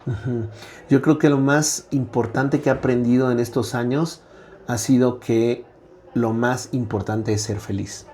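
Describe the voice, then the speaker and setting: A middle-aged man with a Mexican accent talks as an interview guest, his voice dark and mellow at an ordinary middle pitch. He thinks aloud, padding his points with "eh" and checking in with "¿no?". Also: steady tone, unhurried, a little hiss or hum. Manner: reflective, frequent "eh" fillers and tag "¿no?". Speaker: guest academic. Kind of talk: conversational interview.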